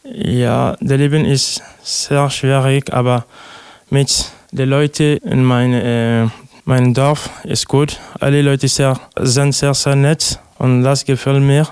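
A man's voice: mid-range voice, level tone, slow pace, a little mellow, clear, resonant tone, no room sound. A young man from Togo answering interview questions in hesitant, simple sentences with many fillers. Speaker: interviewee, refugee. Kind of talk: halting interview answers in learner German.

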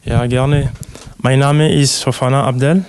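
A man's voice: medium pitch, steady tone, measured pace, darkish tone, clear, resonant tone. A young man from Togo answering interview questions in hesitant, simple sentences with many fillers. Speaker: interviewee, refugee. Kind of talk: halting interview answers in learner German.

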